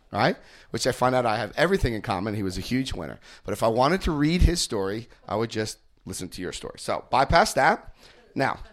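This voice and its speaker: A man from New Jersey with a New Jersey accent, leading a recovery group through a book with jokes and stories and checking in with his listeners. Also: medium pitch. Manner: joking, storytelling, checks in often with the group. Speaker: recovery workshop speaker.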